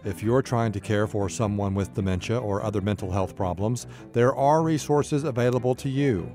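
A man hosting public radio, reading scripted narration in a measured, informative way. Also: fairly low pitch, mellow, faint music behind.

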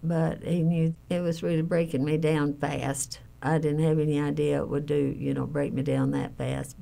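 Lady, middle-aged, really low gravelly voice, talking plain and earnest about her own life. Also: dark tone. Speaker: family caregiver interviewee. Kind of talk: personal interview recollection.

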